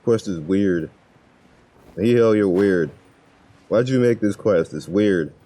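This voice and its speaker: A man's voice: deep voice, slow, mellow. A gaming YouTuber, a man, giving casual gameplay commentary with wry, grumbling asides over game audio. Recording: game audio with background music.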